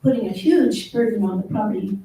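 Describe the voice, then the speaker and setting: A woman with a small, soft voice speaks earnestly at a council meeting, pausing on ums. Her tone is very dark. She is heard from a distance in a roomy, echoing chamber with faint noise. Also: mid-range voice. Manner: earnest, measured, with frequent ums. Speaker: public commenter. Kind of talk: public comment at a council meeting.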